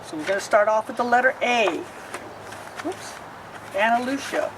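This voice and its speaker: A woman reading a rhyming picture book aloud, pausing to explain the pictures. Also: on the high side, expressive, far from the mic, noisy background. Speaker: storybook reader.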